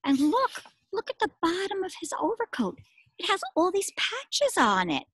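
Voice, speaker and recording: A middle-aged woman, a preschool teacher, reads a story aloud expressively, pausing to explain simply and ask small children questions. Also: very high voice, dry recording. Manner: expressive, addressing small children with simple words and emphatic repetition. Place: outdoors in a garden.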